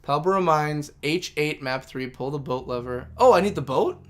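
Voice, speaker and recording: A man in his thirties chats casually while he streams a game. His voice sits a little on the high side, and he rambles as he thinks aloud. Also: moderate pace. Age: 30s. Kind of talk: casual livestream chat.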